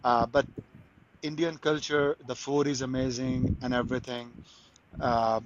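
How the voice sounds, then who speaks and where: A man's voice: medium pitch, little pitch movement, unhurried, lo-fi sound, background noise. A man from Pakistan, a guest in a casual interview, talking eagerly with many restarts and stumbles. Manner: frequent restarts and stumbles, enthusiastic. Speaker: guest, crypto marketer.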